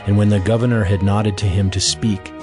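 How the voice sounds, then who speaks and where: A man's voice: lowish voice, level tone, a little fast, over music. A man narrating dramatized scripture as a scripted reading.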